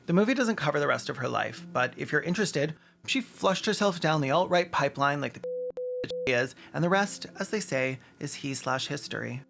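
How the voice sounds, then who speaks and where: A man's voice: a little high, lively intonation, moderate pace, gravelly. A man delivering scripted commentary in a sardonic way, with dry asides and crude humor. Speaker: video essayist and host.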